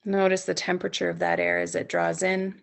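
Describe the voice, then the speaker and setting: Gravelly low-voiced woman guiding sleep meditation. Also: flat delivery. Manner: soothing, unhurried phrasing with long pauses, gently coaxing. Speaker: yoga nidra instructor leading a guided meditation. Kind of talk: guided sleep meditation, softly spoken instruction.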